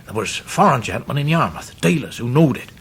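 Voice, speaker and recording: A man's voice: mid-range voice, very animated, fairly quick, vocal fry, a bit bright, slight background noise. An actor playing a humble, devoted working-class old fisherman with an East Anglian accent, speaking earnest rustic dialect in a radio drama. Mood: earnest, subdued. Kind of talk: full-cast radio drama acting.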